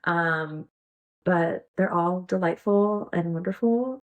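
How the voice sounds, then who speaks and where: A woman's voice: fairly low pitch, slow, narrow, tinny audio. A woman in her thirties, a podcast guest chatting casually, rambling with many fillers and frequent giggles. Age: 30s. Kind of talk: casual interview chat.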